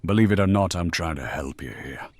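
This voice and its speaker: Male, very deep voice: sardonic Victorian detective inspector, short-tempered, formal, audio drama interrogator. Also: animated, a little mellow. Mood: measured menace. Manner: formal, measured, pointedly sarcastic.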